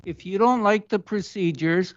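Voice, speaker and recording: Firm higher-voiced man chairing a council. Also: normal speed, dry recording. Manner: firm, admonishing. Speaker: mayor chairing a council meeting. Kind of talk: chairing a public meeting. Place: council chamber. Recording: dull, muffled meeting audio.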